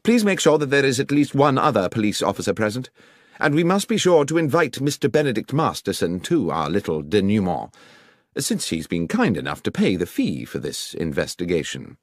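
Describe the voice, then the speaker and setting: A man voicing a brisk, incisive male detective in a dramatised audiobook, precise and emphatic, with a coolly sardonic edge. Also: lowish voice, huge pitch swings, no echo, studio quality, voice pushed up, heavily acted. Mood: commanding.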